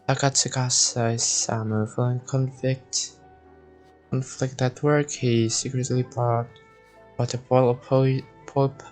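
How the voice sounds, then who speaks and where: A young man live-streaming a game, casually commenting and reading aloud in a halting, stumbling way. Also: mid-range voice, a little mellow. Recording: game music behind the voice.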